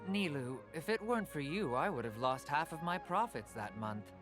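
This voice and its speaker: A man voices a jovial merchant who loves spinning tall tales to sell his goods. His speech is lively and sing-song, with soft game music behind him. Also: fairly high pitch, normal speed, close to an everyday voice. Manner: playful, salesy storytelling, chuckling. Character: jovial middle-aged male merchant, tall-tale salesman. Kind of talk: game voice acting.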